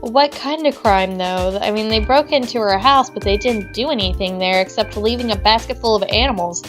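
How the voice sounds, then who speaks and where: A woman's voice: mid-range voice, moderate pace, faint music behind. A woman hosting a let's play, reading game narration aloud and adding chatty, giggly commentary. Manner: chatty, giggly, reads narration then riffs.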